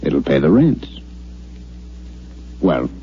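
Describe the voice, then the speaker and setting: An actor playing a cold, patronizing newspaper boss in a 1950s radio drama, speaking briskly and with authority. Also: male voice, very deep voice, very animated, vocal fry, breathy edge, exaggerated voice. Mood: coolly firm. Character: cold, condescending male newspaper publisher, the boss. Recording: muffled old radio recording with hiss.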